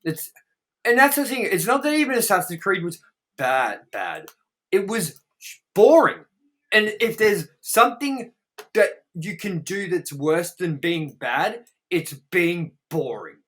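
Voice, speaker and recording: A man's voice: sits high, very animated, unhurried, fairly bright tone. A man co-hosting a casual movie review chat, rambling emphatically and swearing freely. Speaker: review show co-host.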